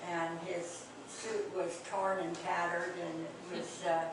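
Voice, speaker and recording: An older woman recalls family memories at an unhurried pace, stopping often to search for words. Her voice is on the low side for a woman. She sounds far from the mic in a very echoey room. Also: level tone, noisy background. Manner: hesitant, many fillers, gentle laughs. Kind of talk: reminiscing oral history talk.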